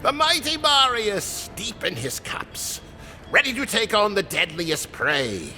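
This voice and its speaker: An actor playing a boisterous, mocking tavern character in a video game, with theatrical, drawn-out delivery. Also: male voice, high voice, big pitch swings, sharp tone, soft background music, exaggerated voice. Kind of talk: scripted video game dialogue.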